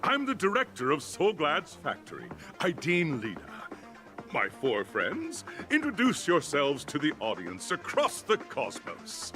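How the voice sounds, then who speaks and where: A pompous game bigwig with a raised, hugely animated man's voice. He is flamboyant and showy, with a bright forceful edge. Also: a little high, a little slow, soft background music, exaggerated voice. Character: flamboyant, self-important factory director.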